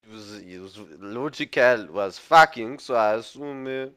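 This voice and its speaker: A man in a gaming voice chat who speaks in halting, broken phrases and laughs often. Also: medium pitch, pushed and bright, clear, resonant tone. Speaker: guild member on voice chat.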